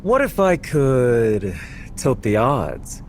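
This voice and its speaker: An actor playing a cunning, persuasive schemer in a dramatic video game cutscene, with measured phrasing. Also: male voice, mid-range voice, big pitch swings, a little mellow, a little hiss or hum. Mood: sly, persuasive. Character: cunning, smooth-talking male schemer recruiting thieves for a heist.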